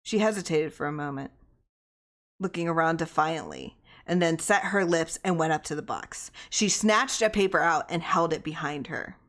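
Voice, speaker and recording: A woman's voice: low-pitched, normal speed, fairly bright tone. A woman narrating a short story from a script as a reader, with character dialogue set among the prose.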